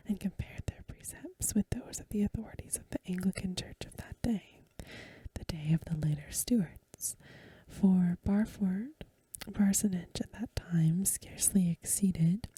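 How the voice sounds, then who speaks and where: Very breathy, whispering woman reading aloud. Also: medium pitch, no echo. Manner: gentle, hushed, measured phrasing. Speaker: ASMR reader. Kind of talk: soft-spoken ASMR storybook reading.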